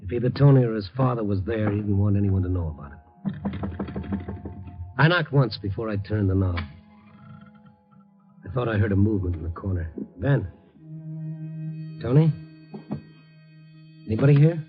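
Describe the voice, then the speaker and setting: An American actor playing a tough but kindly middle-aged reporter in 1950s radio noir, moving between terse narration and hesitant, gentle soothing. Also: male voice, lowish voice, animated, normal speed, long pauses, music in the background, lightly acted. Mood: tense, searching. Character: tough but kindly male night-beat reporter, troubled. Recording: muffled old radio recording.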